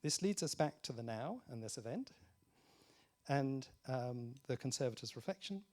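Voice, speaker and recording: A man delivering a prepared opening speech at a conference, with measured, rhetorical and deliberate phrasing. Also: medium pitch, animated, darkish tone. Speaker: academic host introducing a conference.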